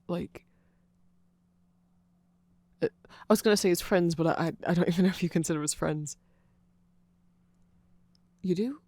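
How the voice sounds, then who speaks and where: A performer voicing a nerdy, awkward, eager vampire friend in a rambling, hesitant ASMR roleplay, halting and self-conscious. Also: medium pitch, no echo, close to an everyday voice. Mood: shy, flustered. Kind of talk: rambling ASMR roleplay, explaining fan lore to a listener.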